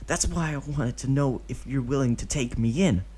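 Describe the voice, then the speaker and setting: A man reading aloud and voicing a boastful, excitable twelve-year-old boy ninja who keeps tacking on "yeah" and "hmm?". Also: mid-range voice, moderate pace, a little hiss or hum, pitched above the performer's own voice, close to an everyday voice. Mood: excited boast. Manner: boastful, eager, ends lines with "yeah" or "hmm?".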